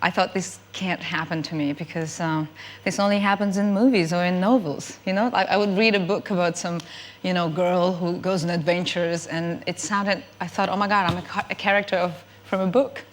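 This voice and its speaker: A woman's voice: fairly low pitch, steady tone, brisk. A woman in her thirties from Ukraine with a Ukrainian-French accent, telling stories as a chat show guest with many ums. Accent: Ukrainian and French mix. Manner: chatty, hesitant storytelling with many ums. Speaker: guest actress. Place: TV studio.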